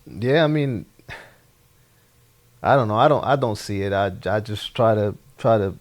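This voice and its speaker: A young man with a Spanish accent, speaking English as a second language as a guest in a relaxed interview, halting and repeating his words. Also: fairly low pitch. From Honduras. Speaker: podcast guest, former soccer player. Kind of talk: casual interview chat.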